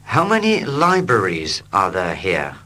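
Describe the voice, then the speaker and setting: A man reading short English dialogues slowly and clearly for learners, line by line. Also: low voice. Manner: slow, clear and expressive. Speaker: English teacher. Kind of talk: slow scripted reading for learners.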